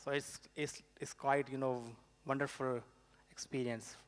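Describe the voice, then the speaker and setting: A young man with a Pakistani accent answering informally on a student panel, in a halting way with repeated phrases. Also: mid-range voice, level tone. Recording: reverberant hall over microphone.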